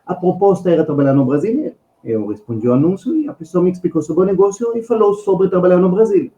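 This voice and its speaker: Male podcast guest with an Israeli accent: mellow tone, emphatic conversational delivery, recorded in a roomy space. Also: sits high, very animated, fast talker, lo-fi sound. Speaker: guest finance expert. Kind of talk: conversational podcast storytelling and advice.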